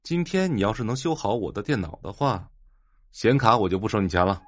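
An actor playing a young electronics stall owner in an audio drama, jovial, mocking and a bit preachy. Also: male voice, fairly low pitch, very animated, brisk, no echo, studio quality. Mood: teasing, lecturing.

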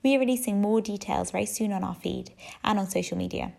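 Female podcast host, mid-pitched, creaky fry, scripted announcement delivery. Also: fast, a little mellow. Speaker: podcast host introducing a show.

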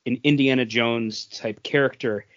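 A man's voice: mid-range voice, fairly even intonation, normal speed, no echo, muffled recording. A man co-hosting a podcast in casual chat, rambling through his ideas. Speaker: podcast co-host.

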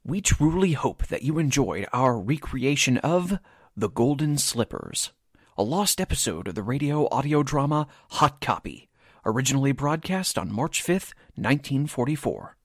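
Male announcer reading radio show credits, formal but lively pitch, dry close sound. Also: moderate pace, slightly rough, no echo. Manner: formal, presentational.

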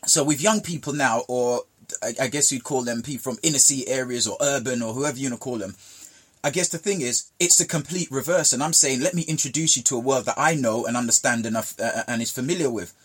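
A man's voice: medium pitch, fast, fairly bright tone, slightly rough. A man from Jamaica telling childhood stories in casual conversation, with emphatic repetition. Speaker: guest.

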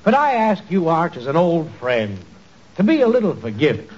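A man's voice: on the high side, huge pitch swings, normal speed, a little mellow. Chummy radio-comedy con man, theatrical and ingratiating, wildly swooping delivery, muffled old broadcast. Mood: self-pitying. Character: smooth-talking male swindler, falsely chummy and wheedling. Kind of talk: 1940s radio comedy acting. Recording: muffled, hissy 1940s radio recording.

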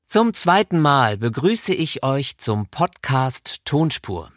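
A man's voice: mid-range voice, very animated, slow pace, fairly bright tone. A man hosting a podcast and interviewing a guest in a recording studio. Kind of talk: scripted podcast introduction, then studio interview.

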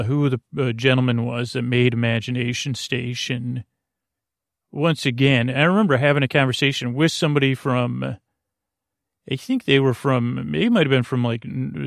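A man hosting a bedtime podcast, meandering and halting as he interrupts himself and drifts through a rambling monologue with a creaky voice. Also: mid-range voice, no echo, clean studio sound. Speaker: podcast host.

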